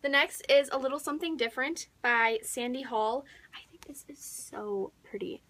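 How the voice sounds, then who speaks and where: A young woman chatting casually as a book vlogger, excitable and gushing, with dramatic emphasis and whispery asides. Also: high-pitched, moderate pace.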